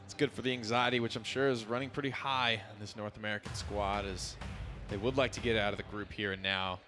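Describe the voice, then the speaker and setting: A man's voice: on the low side, fairly even intonation, fairly quick, music in the background. A man co-casting a live esports match in an expo hall, with casual, wry asides. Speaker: esports caster.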